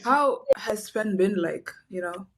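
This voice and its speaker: A woman's voice: medium pitch, lively intonation, slow pace, a little hiss or hum. A woman hosting a podcast interview, asking questions and reacting in a friendly, casual way. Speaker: podcast host.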